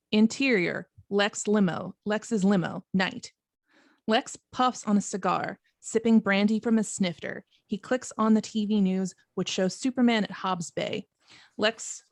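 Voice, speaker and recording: Mid-pitched woman narrating a script. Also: gravelly, dry recording. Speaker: narrator reading stage directions. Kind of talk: scripted narration at a table read.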